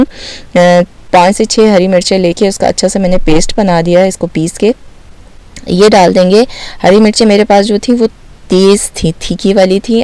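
A woman from Baltistan hosting a home cooking channel, calmly explaining each step of a recipe to the viewer. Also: medium pitch, gravelly, no room sound. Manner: calm, explanatory, addresses viewer directly.

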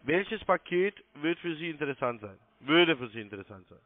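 A man's voice: on the high side, lively intonation, normal speed, no room sound. Insistent man on a muffled phone line. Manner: insistent, repeats himself. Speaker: call centre sales agent. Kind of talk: phone sales instructions. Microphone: telephone call.

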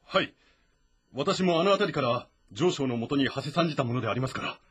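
Husky, eager loyal officer. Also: male voice, medium pitch, expressive, brisk, a bit bright. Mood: respectful. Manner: deferential, formal, earnest. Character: loyal, eager male officer serving his lord. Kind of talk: dramatic Japanese historical game voice acting.

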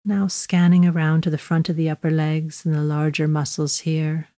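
A woman guiding a body scan meditation in a slow, measured, gently instructive way, leaving long pauses. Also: low-pitched, little pitch movement, no room sound, studio quality. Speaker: mindfulness meditation guide.

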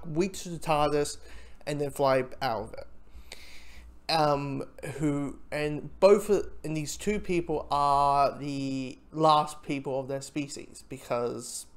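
A reviewer talking casually and off the cuff, in a hesitant, halting way with frequent false starts. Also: medium pitch.

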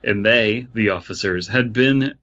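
A man's voice: on the low side, measured pace, sharp tone, highs cut off. A man co-hosting a podcast, trading casual jokes and reading a story aloud. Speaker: podcast co-host.

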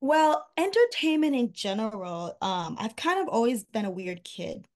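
A woman's voice: fairly high pitch, sing-song, moderate pace. A young woman from Haiti, a recording artist, chatting casually as a guest over a video call, with lots of fillers and trailing asides. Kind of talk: casual interview chat. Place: home room, indoors. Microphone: video call webcam.

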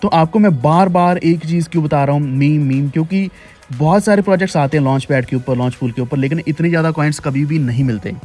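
Male host, slightly higher voice, repeats and urges viewers, screen-recording tutorial. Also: fast talker, darkish tone, soft background music. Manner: explanatory, repetitive, urging viewers. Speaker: crypto channel host.

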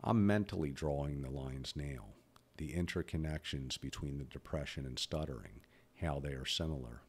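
A man's voice: very deep voice, moderate pace, breathy edge. A man in his fifties who stutters, talking bluntly and candidly about his own life as a podcast host. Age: 50s. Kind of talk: personal reflective monologue.

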